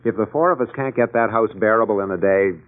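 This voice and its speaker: A man's voice: fairly low pitch, fast, narrow, tinny audio, close to an everyday voice. An actor playing a wry, harried middle-aged American father, narrating and speaking dryly in an old-time radio drama. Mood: wry, determined. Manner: dry, wry. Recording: thin band-limited old radio recording, music behind.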